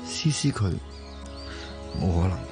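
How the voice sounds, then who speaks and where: A man's voice: low-pitched, sing-song, measured pace, over music. An actor with a Hong Kong accent playing an easygoing, trusting young man in a radio drama, casually brushing things off. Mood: defensive. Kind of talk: Cantonese radio drama acting.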